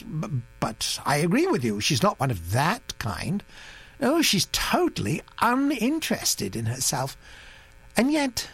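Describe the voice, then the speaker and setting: Thoughtful elderly gentleman played by an audiobook reader; mild and earnest, slow and animated. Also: medium pitch, gravelly, slightly rough, pitched above the performer's own voice, full character voice. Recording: dry recording with mains hum.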